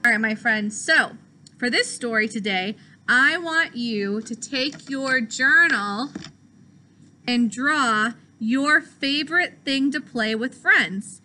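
A young adult woman, a teacher reading a picture book aloud to children, expressive and deliberate. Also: a little high, slight background noise. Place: small classroom, indoors.

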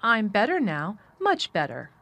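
A woman's voice: mid-range voice, wildly swooping pitch, measured pace, bright, forceful tone, voice pushed up, full character voice. Spoiled storybook boy, lordly as a young prince, declaring everything grandly; female narrator acts him. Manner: haughty, magnificent, declarative.

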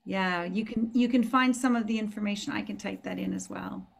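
A woman's voice: medium pitch, brisk, vocal fry, a little hiss or hum. A woman hosting a casual online group discussion on a video call, as a course teacher.

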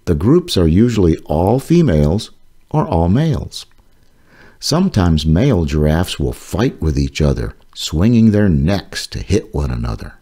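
A man reading his own children's nonfiction book aloud, slowly and carefully. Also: sits low, very animated, warm tone. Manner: slow, careful, clearly paced reading. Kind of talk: read-aloud narration for children.

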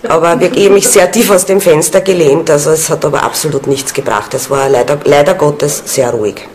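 A woman's voice: sits low, fairly quick. A woman recounting her own experience as a paranormal investigator. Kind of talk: personal account. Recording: noisy location sound.

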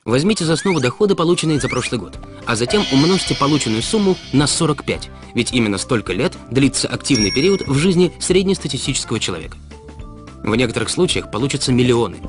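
A man delivering scripted explainer narration in a didactic way, putting rhetorical questions straight to the listener. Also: mid-range voice. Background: background music.

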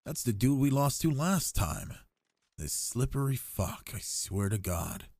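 A man's voice: medium pitch, big pitch swings, unhurried, gravelly, a little mellow, no room sound, clean studio sound, exaggerated voice. A young man playing an affectionate, cocky gamer boyfriend in an intimate ASMR roleplay, with slangy, teasing talk. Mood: excited, trash-talking.

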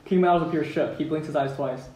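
A man's voice: fairly high pitch, fast, warm tone. A man reading out stage directions as narrator at a script table read. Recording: echoey room, distant mic, background noise.

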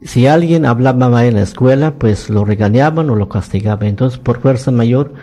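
An elderly man from Yucatán, Maya his first language, talks in Spanish with a Yucatec accent. His voice is mellow and dark, and he tells his story slowly, without hurry. He is recorded in a radio studio with faint music behind him. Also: mid-range voice. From Yucatán, Mexico. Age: 90s. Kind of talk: recounting life story in interview.

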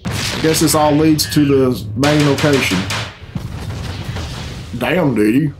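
A man's voice: fairly high pitch, takes it slowly. A man, giving blunt, grumbling, sweary commentary as host of a reaction video. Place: small furnished room at home, indoors. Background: loud game cutscene music.

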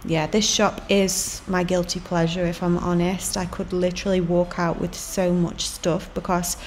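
A woman, narrating a fashion vlog in a relaxed, chatty way with little fillers. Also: on the low side, normal speed, slight background noise. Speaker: fashion vlogger. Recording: voice over background music.

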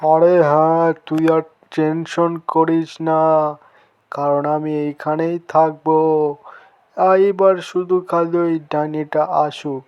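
A man's voice: on the high side, little pitch movement, slow pace, a little mellow, clean tone, highs cut off. A man voicing a blunt, protective, obedient monster guard in a cartoon, insistent and reassuring. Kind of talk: cartoon dialogue.

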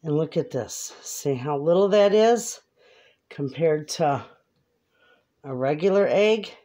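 A middle-aged woman chatting casually to camera in her home kitchen as she cooks, homey and quick to laugh. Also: deep voice, lively intonation, warm tone. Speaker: homestead cooking vlogger. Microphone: camera across the kitchen. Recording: noisy home kitchen audio.

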